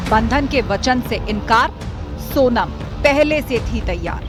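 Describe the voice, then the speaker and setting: Woman, dramatic promo narration, slow emphatic rhythm, loud music behind. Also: a little high, lively intonation. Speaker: promo voice-over.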